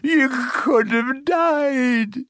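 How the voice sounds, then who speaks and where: A man voicing a huge, bearded, soft-hearted half-giant with rustic, colloquial speech in an audiobook. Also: mid-range voice, lively intonation, slow, breathy edge, full character voice. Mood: sobbing remorse.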